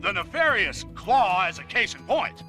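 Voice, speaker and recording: A man's voice: sits high, very animated, moderate pace, pushed and bright, soft background music, exaggerated voice. A man playing a brash, self-important newsman delivering a punchy, blustering bulletin in a video game.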